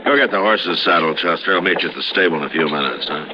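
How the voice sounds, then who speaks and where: An actor playing a stern, lonely frontier marshal in a 1950s radio drama, measured and firm. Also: male voice, low-pitched, noisy background, natural voice. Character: stern lonely frontier lawman, middle-aged man. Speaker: US marshal character. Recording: dull old radio broadcast recording.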